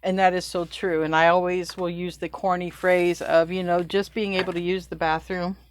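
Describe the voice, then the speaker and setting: A woman's voice: lowish voice, little pitch movement, moderate pace, slight background noise. A middle-aged woman gives a casual spiritual tarot reading. She talks loosely, corrects herself mid-sentence, and chats as if to a friend. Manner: chatty, loose, self-correcting, laughs at own slips. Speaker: tarot reader.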